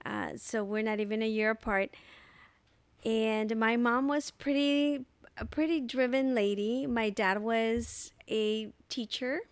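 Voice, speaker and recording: A woman in her thirties from El Salvador, a podcast guest recalling her childhood in an unhurried, thoughtful way, with many fillers, recorded on a boom mic in a living room. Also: steady tone, smooth, no room sound. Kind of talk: casual interview storytelling. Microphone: desk mic on boom arm.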